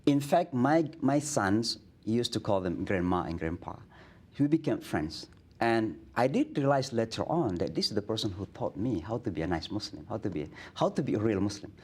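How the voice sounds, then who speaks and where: Middle-aged man, Indonesian accent, earnest guest storyteller, slightly low voice. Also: moderate pace, slightly rough. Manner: earnest, many restarts and fillers, grows animated. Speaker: imam, guest. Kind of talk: studio interview, storytelling. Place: TV studio.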